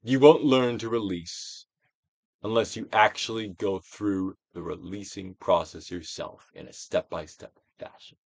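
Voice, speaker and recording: A man gives a dramatic, stage-trained reading of a self-help book, emphatic, with drawn-out phrases that swell and drop to a hush. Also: lowish voice, steady tone, gravelly, no echo. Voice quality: trained stage-actor delivery. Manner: emphatic, drawn-out phrases with big dynamic swells and hushed drops. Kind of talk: theatrical dramatic audiobook reading.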